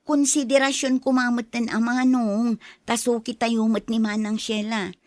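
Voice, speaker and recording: A woman's voice: on the high side, little pitch movement, moderate pace, darkish tone, dry recording, close to an everyday voice. A woman with an Ilocano accent playing a patient, apologetic younger sister in a family drama, speaking in a conciliatory way. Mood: pleading. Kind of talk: radio-style family drama acting.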